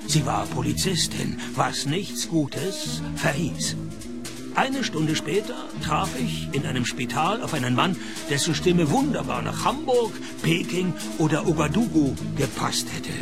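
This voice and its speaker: A gravelly, somewhat high male voice, often over clear music. a radio-play private eye, laconic and deadpan, with dry wisecracks. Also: unhurried. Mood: deadpan narrating. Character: dry, wisecracking private detective narrating his case. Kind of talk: radio play narration and dialogue.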